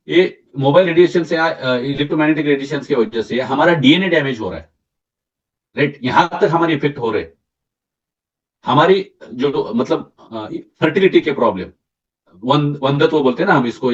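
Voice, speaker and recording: A man with a slightly hoarse throat leads a product training session. He explains point by point, stumbles and restarts words, and often asks "right?" to check on his listeners. The recording sounds distant and dull, like a mic set far from him. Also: mid-range voice. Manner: mixes English terms into Hindi, halting restarts. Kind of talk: sales training presentation.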